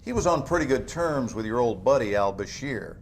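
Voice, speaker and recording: An actor playing a calm male government interrogator who questions in a measured, dry, slightly sarcastic way. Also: mid-range voice. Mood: cool questioning. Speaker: interrogating agent.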